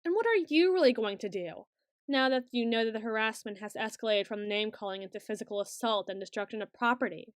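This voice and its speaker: A woman's voice: fairly high pitch, fast, dry recording, clean studio sound, close to an everyday voice. A woman playing an awkward, bookish, secretly obsessive student in an ASMR roleplay, speaking in stilted, over-formal phrases with some hesitation. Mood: defensive, detached.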